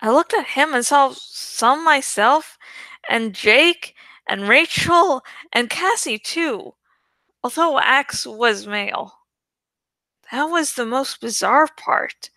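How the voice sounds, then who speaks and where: A woman reading a story aloud in expressive narration. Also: high voice, slow pace, no room sound. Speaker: audiobook reader.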